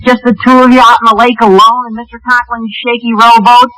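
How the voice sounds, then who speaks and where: An actor playing an excitable, florid teenage schoolboy in a 1940s radio comedy. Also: male voice, extremely high pitch, a little fast, very noisy. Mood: dramatic. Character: eager, squeaky teenage schoolboy with florid gallantry. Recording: vintage radio, audible studio audience.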